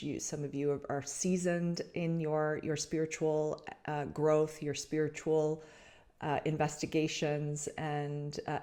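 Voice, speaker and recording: A woman from Canada speaks in a low, unhurried voice, feeling her way through each thought. She restarts phrases and fills pauses as she reflects aloud to her podcast listeners. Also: darkish tone. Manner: slow, searching, many restarts and fillers. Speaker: podcast host. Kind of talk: reflective spiritual talk to listeners.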